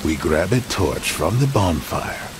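A man's voice: sits low, big pitch swings, unhurried. An actor playing a big, cocky, laconic Western tough guy in a video game, amused with himself. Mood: amused, scheming. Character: big cocky brawny trapper, slow-talking gunslinger. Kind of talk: dramatic video game cutscene acting. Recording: rain noise behind the voice.

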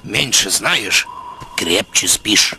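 A man playing a grumpy, skeptical old animal in a children's cartoon, gruff and down-to-earth. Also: on the low side, animated, extremely slow, sharp tone, slight background noise, exaggerated voice, low-quality recording. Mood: skeptical, sulky.

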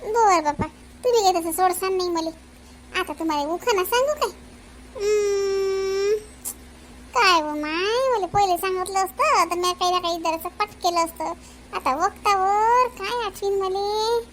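Woman doing super high squeaky cartoon village lady, teasing n folksy. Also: unhurried, fairly bright tone, slight background noise. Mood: mock complaint. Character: teasing, chatty village women of a family. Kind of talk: comedy cartoon dialogue.

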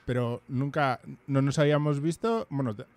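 Male host in his thirties, halting and hesitant, close mic, small home room. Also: mid-range voice, darkish tone. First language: Basque. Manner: hesitant, many fillers and restarts. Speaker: podcast host. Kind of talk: casual video-call chat. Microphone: headphones and studio mic.

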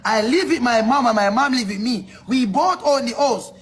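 An actor playing an excitable male visa applicant, speaking broken English, wailing and boasting. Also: extremely high pitch, a little slow, a little hiss or hum, full character voice. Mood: indignant.

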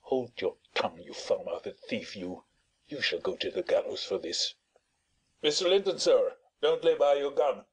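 A man voicing a gruff, blustering male servant who threatens a boy, in an audiobook reading. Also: medium pitch, lively intonation, normal speed.

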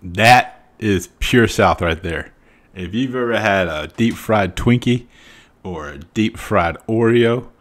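A middle-aged man with a Southern American accent, hosting a reaction video in casual commentary from a small home room on a studio mic, chatty and emphatic, laughing often. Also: fairly low pitch. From Southern United States. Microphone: studio mic on arm, wearing headphones.

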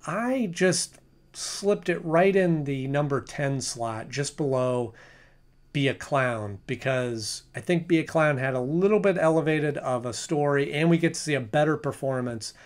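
A man's voice: on the high side, measured pace. Casual man reviewing to camera. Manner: conversational, with frequent restarts and hedging. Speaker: show reviewer. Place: small room at home with shelves of collectibles.

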